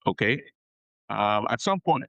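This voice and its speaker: A man's voice: lowish voice, very animated, a little slow, creaky, pushed and bright, no echo, dull audio. Man explaining immigration law, dry sound. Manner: explanatory, repeats key advice, frequent "okay?" check-ins. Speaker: immigration attorney guest. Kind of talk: conversational podcast interview.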